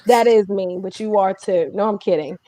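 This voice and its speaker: A woman's voice: medium pitch, normal speed, dark tone, slight background noise. A woman hosting a casual livestream chat, talking in an informal, chatty way with joking asides. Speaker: host of a music community livestream.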